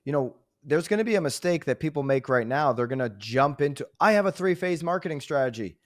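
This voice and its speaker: A man's voice: on the high side, expressive, fast talker. A man co-hosting a business podcast, talking loosely and easygoing, with fillers and trailing thoughts. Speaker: podcast co-host. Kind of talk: casual business podcast discussion.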